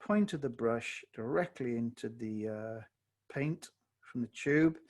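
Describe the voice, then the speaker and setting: A man with a slightly hoarse voice, teaching watercolor live on a video call and thinking aloud as he paints. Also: mid-range voice, unhurried. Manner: relaxed, thinking aloud while painting, self-correcting. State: slightly hoarse, losing his voice. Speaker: watercolor tutor. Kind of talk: live online painting tutorial.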